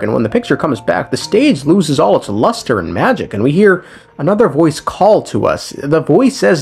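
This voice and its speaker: A man's voice: a little high, slightly rough. A man narrating a story recap of game lore as casual commentary, irreverent and slangy, swearing freely. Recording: music under the voice. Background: background music.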